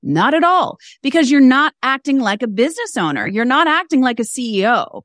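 A woman's voice: high voice, fairly quick, no room sound. Emphatic woman, dry close sound. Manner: animated, emphatic, builds points through repeated phrases. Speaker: guest, fashion stylist and business mentor. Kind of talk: podcast interview conversation.

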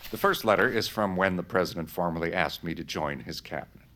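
An actor playing a sly, grave male former government secretary in a period audio drama, deliberate and insinuating. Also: sits low, a bit bright.

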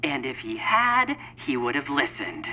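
An actress playing a meddling, well-meaning go-between in an audio comedy, explaining things expressively. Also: female voice, a bass voice, wildly swooping pitch, a little slow, sharp tone, full character voice. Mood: earnest, meddling. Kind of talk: audio fiction comedy acting.

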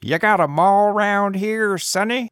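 A tinny, high old desert drifter. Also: male voice, a little slow, loud music behind the voice. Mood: eager. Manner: drawling, folksy, chatty. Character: skinny old bearded desert drifter, cheerful and sly.

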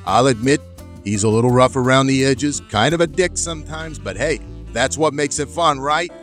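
A man's voice: medium pitch, moderate pace, a bit bright, soft background music, close to an everyday voice. A man playing a brash, foul-mouthed tech company boss giving an orientation video in an audio drama, with breezy, slangy bro talk. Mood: chummy, hyped.

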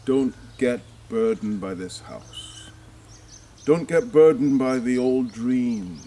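A man's voice: mid-range voice, slow, dark tone. A man playing a weary, cynical doctor in an audio drama, with a hesitant, resigned, fatalistic manner. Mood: earnest urging. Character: weary, cynical, detached male doctor about to leave. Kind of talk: naturalistic audio drama acting. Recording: faint outdoor ambient noise. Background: birdsong, outdoor ambience.

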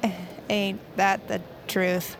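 A woman's voice: medium pitch, level tone, slow pace, creaky, slight background noise. Earnest, persistent teenage girl. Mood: wry. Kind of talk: naturalistic audio drama acting.

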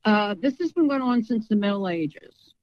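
A woman's voice: medium pitch, lively intonation, normal speed, dry recording. A woman speaking as an interview guest in a conversational, outspoken and emphatic way, with slightly lo-fi sound.

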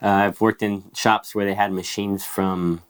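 A man's voice: lowish voice, level tone, measured pace, clean tone. A man in his fifties, a guest machinist, telling stories in a casual interview with eager, chatty repetition. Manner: eager, chatty, repeats "yeah" often.

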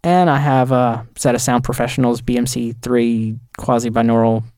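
A man's voice: mid-range voice, fairly even intonation, moderate pace, darkish tone, clear, resonant tone, dry recording. Dry-sounding man talking casually. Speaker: podcast panelist. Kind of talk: casual gear talk.

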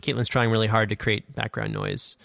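A man's voice: lowish voice, huge pitch swings, a little fast, vocal fry, a bit bright, no echo. Man podcasting in a very dry, close sound. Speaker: podcast host and audio drama producer. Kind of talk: casual instructional podcast chat. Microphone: condenser studio microphone.

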